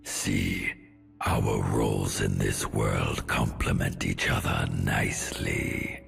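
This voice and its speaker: An extremely deep, slow-talking arch-villain for a fantasy game. His voice is thick with gravel, and he sounds suave, gloating and coldly patronizing. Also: fairly even intonation, fairly bright tone, faint music behind, full character voice. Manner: unhurried, mock-courteous, gloating. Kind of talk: dramatic video game villain acting.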